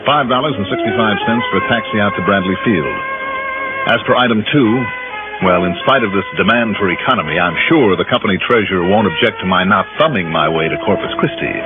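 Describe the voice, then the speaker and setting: An American actor playing a wry, breezy insurance investigator who banters and wisecracks in an old radio drama. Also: male voice, lowish voice, a little fast, close to an everyday voice. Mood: dry, businesslike. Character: wry, breezy freelance insurance investigator, sociable and money-minded. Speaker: star of a radio detective series. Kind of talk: 1960s American radio acting and narration. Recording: tinny old radio broadcast, loud music.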